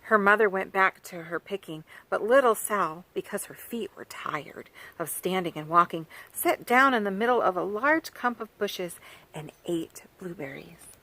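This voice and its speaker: A woman's voice: mid-range voice, normal speed, rough recording. Woman reading a kids book outside on the farm, bouncy sing-song voice, keeps asking the kids stuff. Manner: animated and sing-song, asking the listener questions. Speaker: children's author reading aloud. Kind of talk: storytime reading for children. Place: outdoors by a farm garden.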